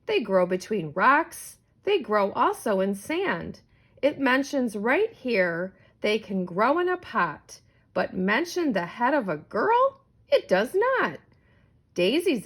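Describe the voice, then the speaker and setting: A woman's voice: medium pitch, fairly bright tone, heavily acted. A woman voicing a pompous, learned male school principal from a children's storybook.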